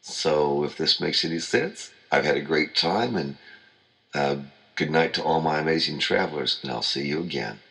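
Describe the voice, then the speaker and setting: A middle-aged man with an extremely deep voice full of gravelly fry, yet with a bright, forceful edge to it. He talks earnestly and from the heart, circling back to the same points over and over. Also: lively intonation, moderate pace, low-quality recording. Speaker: motivational show host. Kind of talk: motivational talk.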